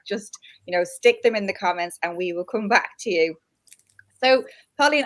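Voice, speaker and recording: A woman's voice: medium pitch, a bit bright. Chatty Irish woman hosting a live interview. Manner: chatty and enthusiastic. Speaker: interview host. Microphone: video call.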